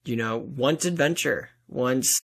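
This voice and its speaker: A man's voice: medium pitch, slow, gravelly, fairly bright tone, breathy edge. A man speaking as a guest author in a casual interview, with an earnest, enthusiastic and informal manner.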